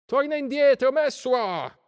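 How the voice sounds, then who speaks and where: A hostile crowd of superstitious villagers from a storybook. The cries are very high, thin and strained, drawn out into jeers and accusations. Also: male voice, lively intonation, measured pace, smooth, big-room sound. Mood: hostile, threatening. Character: angry mob of superstitious villagers, shouting accusations.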